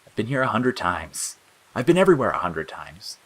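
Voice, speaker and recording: A man's voice: lowish voice, very animated, brisk, fairly bright tone, voice pushed up, low-quality recording. Man as spiteful monster flower, audio drama: sarcastic, bored, snappish, voice lifted above his natural register. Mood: contemptuous, irritated. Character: sneering bitter talking flower, spiteful bored male monster. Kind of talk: audio fiction character acting.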